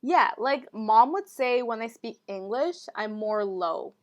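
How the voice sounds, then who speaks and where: Young woman, podcast co-host, casual storytelling, relaxed, filler-heavy. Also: on the high side, takes it slowly, muffled recording. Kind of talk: casual chat.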